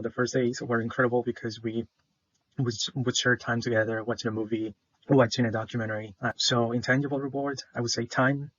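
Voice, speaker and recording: Shy young salvadoran guy presenting for class, flat voice, lots of uhs, dull dry recording. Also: mid-range voice, no echo. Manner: hesitant, many fillers, shy. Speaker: student presenter.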